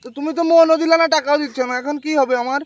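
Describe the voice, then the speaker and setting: A man's voice: very high-pitched, fairly even intonation, rapid-fire, lo-fi sound, noisy background. A man playing a jilted young village boyfriend on a prank call, pleading, repetitive and melodramatic. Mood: aggrieved, whiny. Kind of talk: prank phone call acting.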